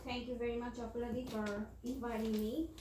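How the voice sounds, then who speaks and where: Woman from Bangladesh, high voice, earnest, halting, distant mic, echoey room, faint hiss. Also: little pitch movement. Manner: earnest, with pauses and fillers. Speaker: panelist, songwriter and singer. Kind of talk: panel discussion remarks.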